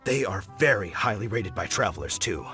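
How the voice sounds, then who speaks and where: A man's voice: on the low side, expressive, fairly quick, pushed and bright, soft background music. An actor playing an eager, pushy male meat supplier in a game cutscene, talking like a salesman. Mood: pitching. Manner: salesman-like, persuasive. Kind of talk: video game cutscene dub.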